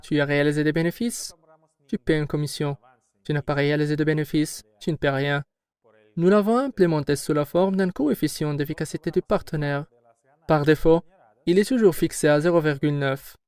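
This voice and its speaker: A man's voice: a little high, darkish tone, clear, resonant tone, dry recording. Assertive man with a dry, close sound. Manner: measured, assertive, explanatory. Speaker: company head answering questions. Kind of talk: formal prepared interview answers.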